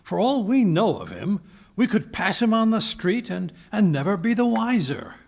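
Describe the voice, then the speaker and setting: A man's voice: sits high, sing-song, normal speed, exaggerated voice. A man playing a bumbling, genial older doctor sidekick in a 1940s radio mystery style, hesitant and stammering. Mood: amiable, huffy. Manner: blustering, hesitant, stammering, throat-clearing. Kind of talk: 1940s radio mystery acting, live re-creation.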